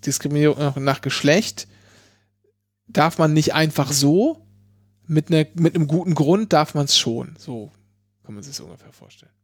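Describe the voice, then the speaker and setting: A man's voice: on the high side, moderate pace, long pauses, husky, no echo, clean studio sound. A man chatting casually, explaining legal points with many fillers and restarts. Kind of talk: casual chat explaining law.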